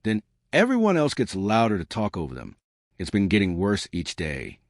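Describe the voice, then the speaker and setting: A man's voice: lowish voice, huge pitch swings, normal speed, no echo, clean studio sound. A man voicing a weary, exasperated female human delegate explaining things in a science fiction audio story.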